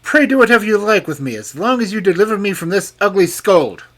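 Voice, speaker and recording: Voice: medium pitch, very animated, normal speed, a bit bright, breathy edge, pitched above the performer's own voice. A storybook narrator voicing a stubborn, passionate young king who speaks in a raised, emphatic voice. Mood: defiant.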